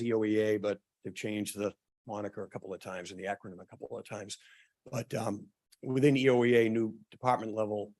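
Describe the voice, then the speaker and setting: A man speaking at a public forum who is losing his voice, often clearing his throat and stopping for ums and uhs. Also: lowish voice, a little mellow. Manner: halting, many ums and uhs, restarts. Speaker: town official presenting at a public forum. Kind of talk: prepared remarks at a public meeting.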